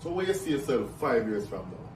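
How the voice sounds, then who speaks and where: A man's voice: medium pitch, sing-song, fairly quick. A man interviewing a guest in a casual, affirming way, laughing along. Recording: echoey room, mic far off, noisy.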